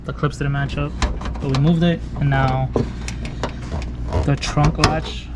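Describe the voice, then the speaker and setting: A man's voice: a little high, flat delivery, takes it slowly. A young man casually narrating a car part swap in his garage, swearing and laughing easily, recorded on a small camera. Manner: laid-back, swears casually, laughs often. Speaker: car builder filming a garage install. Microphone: GoPro and phone camera. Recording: noisy garage camera audio.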